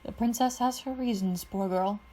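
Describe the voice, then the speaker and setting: A woman's voice: mid-range voice, moderate pace, mellow, lightly acted. A woman voicing a kind, wry middle-aged father who is a scientist.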